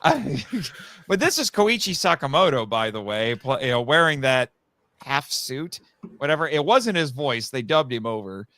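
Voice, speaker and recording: A man with a bright, clear voice in a dry, close recording. He explains fan material eagerly and casually, rambling through details as a podcast guest. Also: medium pitch, very animated, moderate pace, dry recording. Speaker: podcast guest, audio drama writer. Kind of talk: animated casual fan discussion.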